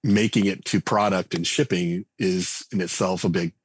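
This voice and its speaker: Deep husky-voiced man, rambling. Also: fairly even intonation, moderate pace, dry recording. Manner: thoughtful, rambling, many fillers and restarts. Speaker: game writer and designer guest. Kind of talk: conversational podcast interview.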